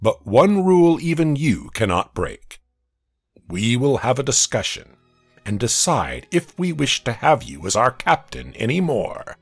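Man voicing insistent, higher-pitched young pirate. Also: big pitch swings, unhurried, slightly rough, dry recording, faint music behind, heavily acted. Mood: firm dissent. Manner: apologetic but insistent. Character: discontented young pirate, politely rebellious.

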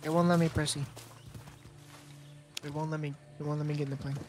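A man's voice: on the high side, level tone, fairly quick. A young man playing a co-op game with a friend, teasing and laughing a lot. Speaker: gaming partner. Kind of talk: casual co-op gaming chat. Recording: game sound and noise behind voice.

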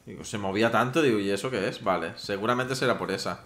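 A man's voice: on the low side, fast, a bit bright. A man giving casual commentary while playing a video game, chatting to his viewers. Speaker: gameplay streamer. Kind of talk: casual let's-play commentary. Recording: roomy with faint noise.

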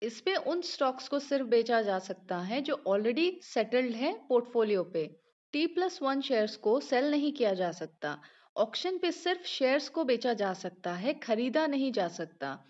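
A woman walks through a trading app step by step in steady, scripted narration. Her voice sits fairly high. It is recorded in a roomy space with a slightly dull sound. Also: normal speed. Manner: steady, explanatory, step-by-step walkthrough. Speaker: finance channel host.

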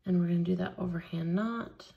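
A woman leading a hands-on beading tutorial at a craft table, explaining each step slowly and patiently. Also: on the low side, vocal fry, muffled recording. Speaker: craft instructor.

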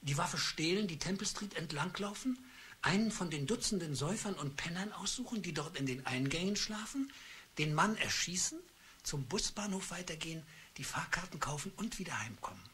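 An actor playing a bitter middle-aged husband who speaks in a cold, deliberate and accusing way in a radio play. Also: male voice, on the high side, lively intonation, sharp tone, a little hiss or hum. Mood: coldly accusing. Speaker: husband Charles.

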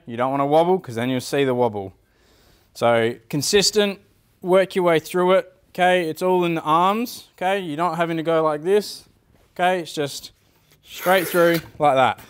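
A man's voice: fairly high pitch, smooth. A man in his thirties giving an informal hands-on demonstration as a woodwork teacher, often checking in with short tag questions. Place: school woodwork workshop, indoors.

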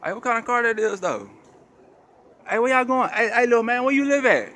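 High-pitched, animated Texan man, slangy and taunting. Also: a little fast, slight background noise. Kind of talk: casual excited street commentary while filming. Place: outdoors at night.

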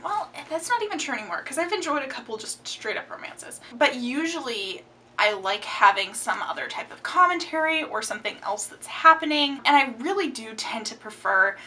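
A woman's voice: high voice, moderate pace, recorded from a distance. A young woman chatting expressively about her favorite books in a casual vlog from her home room. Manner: chatty and expressive, gestures a lot. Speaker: book vlogger. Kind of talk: casual book tag chat. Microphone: camera framing, upper body.

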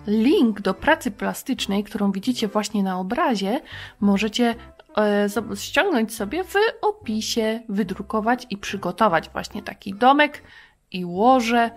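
A woman presents Bible stories to children in an average-pitched voice. She reads with dramatic expression, like a storyteller, over faint music. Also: animated, measured pace. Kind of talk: expressive scripture reading and talk to children.

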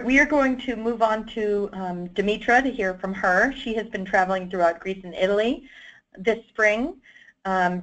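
A woman's voice comes through with a dull, lo-fi quality, as she moderates an online webinar. She talks in a chatty, friendly way over a video call. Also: mid-range voice. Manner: friendly, chatty, thanks each speaker. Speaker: webinar host.

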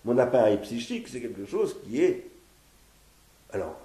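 A man's voice: medium pitch, very animated, moderate pace, dark tone. A man in his sixties from France giving a philosophy seminar at home, reasoning aloud in a searching way, often restarting and repeating words. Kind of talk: spoken philosophy seminar, partly read from notes. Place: living room, indoors. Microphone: fixed camera at medium distance. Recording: echoey room.

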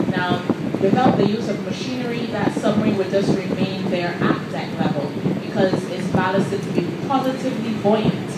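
Woman guide, mid-pitched, practised explanatory patter, heavily echoing over loud noise on a boat. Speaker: tour guide. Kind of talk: live explanatory commentary to tourists. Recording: very echoey, distant, loud engine noise.

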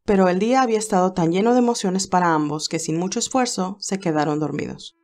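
Low-voiced woman narrating a story. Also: fast, a little mellow. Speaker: narrator reading a fan novel. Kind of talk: audiobook narration.

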